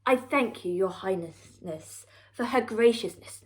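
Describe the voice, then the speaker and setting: A woman voicing a creepy, obsequious royal portrait painter in a children's story, with oily, drawn-out flattery. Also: medium pitch, pitched below the performer's own voice, close to an everyday voice. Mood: grovelling.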